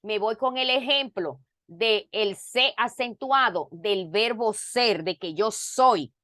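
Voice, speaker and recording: Woman teacher on a video call, unhurried, repeats herself for emphasis, dry close sound. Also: medium pitch, lively intonation, a bit bright, no echo. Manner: slow, repeats words and examples for emphasis. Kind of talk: online class explanation.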